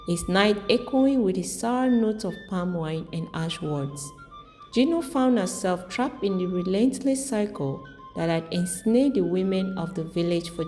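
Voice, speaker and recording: Lady telling a folktale, soft mellow voice, big reverb and music under it. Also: lowish voice. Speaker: storyteller. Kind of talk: scripted folktale narration.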